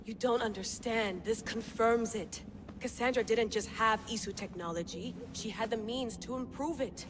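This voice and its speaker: A woman's voice: a little high, moderate pace, lightly acted. An actress playing an intense, sardonic woman researcher who pushes on with her work despite warnings. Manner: driven, intense, wry. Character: driven, wry woman scientist obsessed with an artifact. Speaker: researcher and cell leader. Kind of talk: game cutscene acting. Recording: long-reverb room with faint noise.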